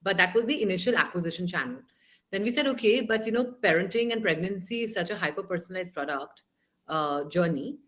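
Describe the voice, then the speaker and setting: A woman, a founder speaking on a panel over a video call, animated and thinking aloud with frequent restarts. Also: lowish voice, fast talker. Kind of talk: panel discussion.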